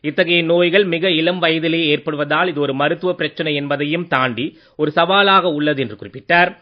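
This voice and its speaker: A man reads a formal scripted radio news bulletin in a measured manner. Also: a little high. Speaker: news reader. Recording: dull lo-fi radio sound.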